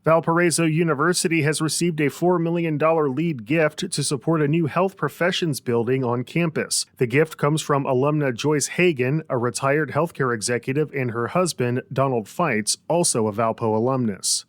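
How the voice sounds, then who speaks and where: A man's voice: fairly high pitch, normal speed, few pauses, no room sound. An American man reading a scripted radio news bulletin as the anchor, close on a studio mic.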